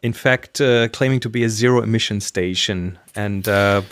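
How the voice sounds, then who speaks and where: A man's voice: fairly low pitch, moderate pace, a bit bright, clear, resonant tone, no echo. A middle-aged man co-hosting a podcast, giving casual conversational commentary over a video call. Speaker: podcast co-host. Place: home room, indoors.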